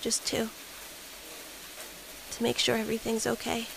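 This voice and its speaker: A woman's voice: fairly high pitch, monotone, a little slow, vocal fry. A woman playing a frightened, withdrawn little boy in an audio horror drama, hesitant and pleading. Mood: timid, uneasy. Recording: hissy background noise.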